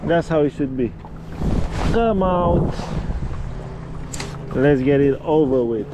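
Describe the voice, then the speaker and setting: Excitable angler talking slowly on a boat. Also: male voice, a little high, background noise. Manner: excited, coaxing the fish aloud. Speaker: angler and channel host. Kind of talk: casual running commentary while fishing. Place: small boat on open water outdoors. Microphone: action camera. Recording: loud music over outdoor audio.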